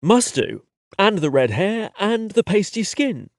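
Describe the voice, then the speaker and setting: A man's voice: sits high, big pitch swings, unhurried, dry recording, clean studio sound, voice pushed up, full character voice. A man voicing a cheeky young British man with a London accent, wry and friendly.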